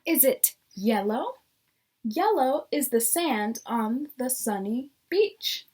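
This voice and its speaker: A woman's voice: on the high side, sing-song, slow, a little mellow. A woman teacher reading a picture book aloud to young children, explaining things simply and asking them questions.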